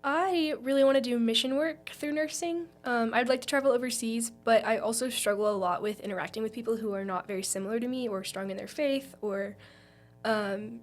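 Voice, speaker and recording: A young woman from Texas speaking casually as a student volunteer being interviewed. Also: fairly high pitch. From Texas, United States. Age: young adult. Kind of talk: casual chat.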